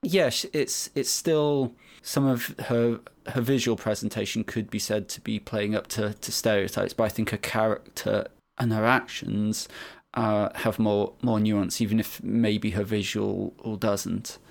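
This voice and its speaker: A man in casual podcast conversation, speaking in a measured, analytical way with frequent repeated starts. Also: lowish voice, fairly even intonation, gravelly. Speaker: podcast co-host discussing anime. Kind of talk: casual chat.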